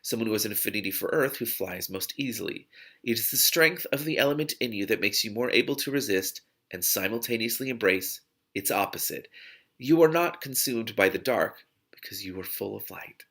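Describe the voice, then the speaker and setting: A man voicing a wise female magic mentor in storybook dialogue, speaking formally and deliberately as he explains. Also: on the low side, expressive, fairly bright tone. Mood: thoughtful, assured. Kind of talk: audiobook-style character dialogue.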